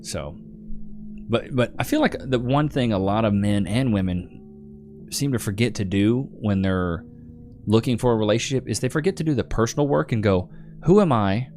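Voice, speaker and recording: Man, livestream host, deep-ish relaxed chatter, soft guitar music behind. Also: moderate pace. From Texas. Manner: laid-back, calls viewers bro and brother. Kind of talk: casual livestream chat reading comments.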